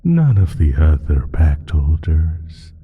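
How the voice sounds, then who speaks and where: Ancient malevolent creature for audio drama: extremely low, very slow, sly and taunting, drawing out every phrase. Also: male voice, very animated, narrow, tinny audio, heavily acted. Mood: amused, indifferent. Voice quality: very deep, husky, breathy, dropping into whisper. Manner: drawn-out, halting, teasing delivery with low chuckles. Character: ancient evil male creature, sly, taunting, sinister pact-maker. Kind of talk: slow, theatrical audio roleplay monologue addressed to listener.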